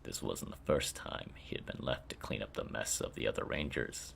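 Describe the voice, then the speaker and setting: Very deep, gravelly man narrating fantasy. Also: expressive, moderate pace, a bit bright, a little hiss or hum. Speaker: author reading own story. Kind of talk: dramatic scripted fantasy narration.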